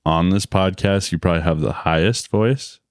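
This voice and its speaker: A man with a bassy voice co-hosting a casual hunting podcast, telling stories and acting out what others said. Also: moderate pace, dry recording, studio quality. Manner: storytelling, acts out quotes. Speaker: podcast co-host. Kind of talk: casual chat.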